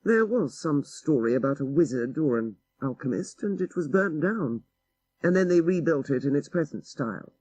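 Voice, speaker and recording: A man's voice: fairly high pitch, animated, moderate pace, darkish tone, husky, no room sound, rough recording. A man voicing an earnest young country-house owner in an audiobook story, well-mannered, explanatory and sceptical. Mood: informative.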